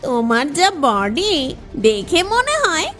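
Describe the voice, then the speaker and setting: A woman voicing a cheeky little female bird in a children's cartoon, teasing and flattering with drawn-out, playful mockery. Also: sits high, faint music behind, full character voice.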